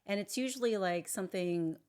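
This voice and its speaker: A woman actor in casual chat, talking hesitantly and trailing off softly at the ends of her thoughts. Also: medium pitch, a little fast, clean tone. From Filipino.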